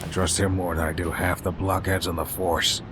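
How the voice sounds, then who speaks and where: A man playing a weary, gruff police commissioner in a motion comic, with a blunt, world-weary way of talking. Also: low voice, flat delivery, moderate pace, very noisy, lightly acted. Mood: weary, irritated. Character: weary, gruff male police commissioner, middle-aged or older. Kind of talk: dramatic motion comic acting. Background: music.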